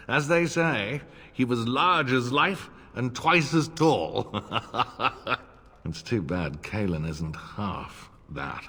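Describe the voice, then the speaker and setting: An actor playing a smug, middle-aged nobleman and war veteran in a video game, formal and sardonic, with a husky voice. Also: lowish voice, a bit bright, full character voice. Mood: wry, nostalgic. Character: older nobleman, old war comrade, smug, wry, insincerely courteous. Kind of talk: video game cutscene acting. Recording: long reverb, music underneath.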